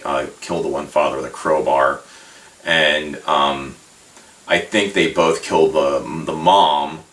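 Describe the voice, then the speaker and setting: A man giving a casual, rambling hobby commentary as a video host, halting and informal, often circling back as he talks. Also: rumbling low, steady tone, a bit bright. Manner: halting, hesitant, meandering, informal. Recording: roomy echo, distant microphone.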